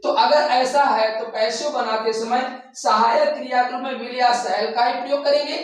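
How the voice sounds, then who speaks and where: A high-pitched male teacher speaks from well away from the microphone in a heavily reverberant room. He goes slowly through a lesson step by step, restating phrases and asking questions that he answers himself. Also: steady tone. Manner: didactic, repeats phrases and asks rhetorical questions. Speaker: English grammar teacher. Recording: very echoey room, distant microphone.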